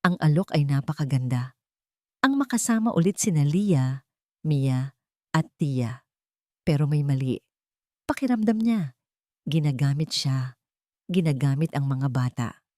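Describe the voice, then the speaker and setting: Woman, audiobook narrator, low voice, dry close sound, dramatic scripted storytelling. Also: expressive, a little slow, pauses often, dark tone, no room sound.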